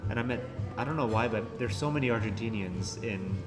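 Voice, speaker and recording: A man's voice: fairly low pitch, a little fast, loud music behind the voice. Chatty Californian guy, full of "like". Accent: Californian American English. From California, United States. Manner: rambling, heavy use of "like". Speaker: traveler in a group chat. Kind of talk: casual chat. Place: hostel.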